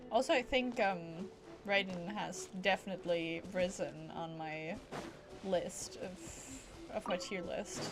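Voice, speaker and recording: A woman giving casual live gameplay commentary as a streamer, playful and rambling, with excited exclamations. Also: fairly low pitch, takes it slowly. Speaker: game streamer. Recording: game music behind voice. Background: video game music.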